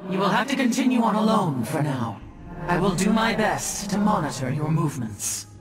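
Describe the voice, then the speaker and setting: A woman's voice: fairly low pitch, sing-song, normal speed. A woman playing a loyal alien warrior commander in a sci-fi game, formal and earnest. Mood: concerned, steady. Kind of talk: dramatic sci-fi game acting. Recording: loud music behind the voice.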